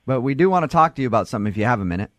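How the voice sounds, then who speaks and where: A man's voice: medium pitch, big pitch swings, very fast, a bit bright, no echo, clean studio sound. A man hosting a radio show with casual, joking morning banter. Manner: joking, teasing.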